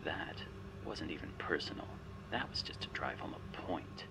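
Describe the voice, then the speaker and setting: A man voicing a vengeful, coldly manipulative handler in a whispery, gravelly ASMR roleplay, taunting and deliberate. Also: very deep voice, lively intonation, full character voice. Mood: mocking, menacing. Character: menacing, vengeful male infiltration handler, mocking and coldly manipulative. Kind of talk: ASMR roleplay, scripted one-sided drama.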